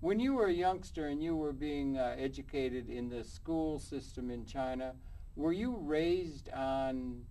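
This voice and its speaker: A man's voice: mid-range voice, measured pace, dark tone, poor audio. A man hosting a studio interview show, rambling and searching for words as he introduces his guests. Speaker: talk show host. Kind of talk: public access interview.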